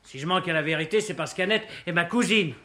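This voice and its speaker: An actor playing an outspoken, good-hearted detective's sidekick in a French radio play, with a cheeky, slangy way of talking. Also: male voice, high-pitched, quick pace, bright, forceful tone. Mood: gruffly magnanimous. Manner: colloquial, outspoken.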